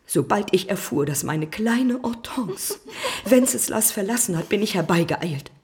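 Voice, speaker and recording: An actress playing a scheming, venomous spinster cousin in a radio drama, dramatic and falsely virtuous, sometimes dropping into a hiss. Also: female voice, mid-range voice, animated, unhurried, darkish tone. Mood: indignant, scheming. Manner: dramatic, dropping into hissed whispers.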